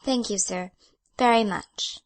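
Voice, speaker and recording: A timid, earnest young woman who answers softly and humbly, raised well above the reader's own voice, as a male narrator puts her on. The voice is soft, light and deferential. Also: high-pitched, measured pace, voice pushed up. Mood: grateful.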